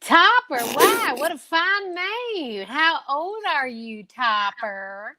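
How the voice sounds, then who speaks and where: A woman's voice: high-pitched, sing-song, slow, pushed and bright, voice pushed up, full character voice. A woman voicing a jolly male store Jack Frost who greets children in a hearty, indulgent way, in old-time radio style. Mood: jolly, kindly.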